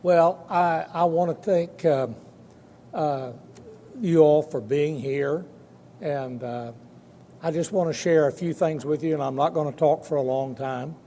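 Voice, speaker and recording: An older man in his seventies or beyond giving an informal personal talk, halting and folksy, with many false starts and repeated words. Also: warm tone. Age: 70s or older. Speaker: guest speaker, trial lawyer. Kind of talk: informal personal testimony speech. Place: large room at a dinner event. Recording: echoey hall, noisy with low rumble.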